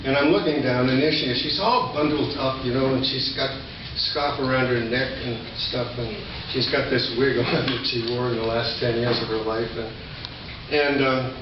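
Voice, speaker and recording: A man's voice: medium pitch, fairly even intonation, moderate pace. An older man speaking as a poet at a live reading of his work. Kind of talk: poetry reading with informal spoken introduction. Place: indoor reading venue, recorded from a distance. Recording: echoey room, distant mic, dull, noisy.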